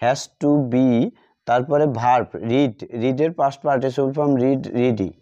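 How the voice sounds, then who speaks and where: A man teaching English grammar, repeating words slowly as he writes them out. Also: medium pitch, steady tone, warm tone. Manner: slow, repeats words while writing them out. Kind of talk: slow classroom explanation while writing. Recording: dull lo-fi audio.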